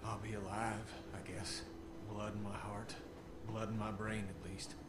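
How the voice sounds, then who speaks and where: A man's voice: on the low side, little pitch movement, measured pace, close to an everyday voice. An actor playing a resigned, subdued and bitter male survivor in a dramatic video game. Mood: glum. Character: glum, resigned male train-driving survivor. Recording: echoey, loud engine noise behind.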